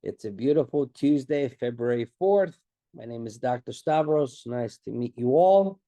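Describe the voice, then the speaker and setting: A man's voice: mid-range voice, animated, moderate pace, dark tone, no room sound. Congested man coaching a live class. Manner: chatty, reassuring, asks rhetorical questions. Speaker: exam prep coach. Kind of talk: live online class, casual talk to students.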